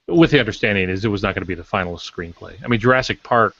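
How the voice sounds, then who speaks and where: An older man chatting casually as a podcast guest, telling anecdotes and pausing to search for words. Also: lowish voice, creaky, a bit bright. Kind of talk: casual panel talk, anecdotes. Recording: dull lo-fi roomy online audio.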